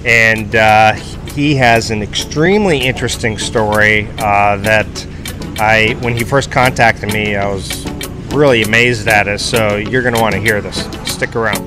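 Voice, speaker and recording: A man in his fifties hosting an interview, talking casually with many pauses and fillers. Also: lowish voice, over music. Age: 50s. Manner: relaxed, hesitant with many fillers. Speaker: host. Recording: noisy background with traffic.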